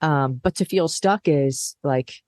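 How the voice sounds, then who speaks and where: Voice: medium pitch, takes it slowly, a little mellow, no room sound. Reflective guest thinking aloud. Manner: reflective, thinks aloud, acts out past thoughts. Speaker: guest, business co-founder. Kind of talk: conversational interview.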